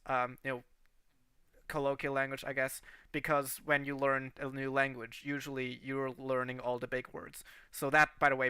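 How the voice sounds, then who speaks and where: A man's voice: mid-range voice, bright, forceful tone, smooth, dry recording. A man from Portugal, English not his first language, chatting on a creators' livestream about planning a long series, rambling with many restarts. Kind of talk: casual livestream chat.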